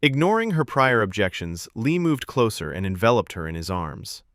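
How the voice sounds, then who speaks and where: A man reading scripted fiction as a podcast narrator, with expressive storytelling delivery. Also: lowish voice, moderate pace, dry recording, clean studio sound.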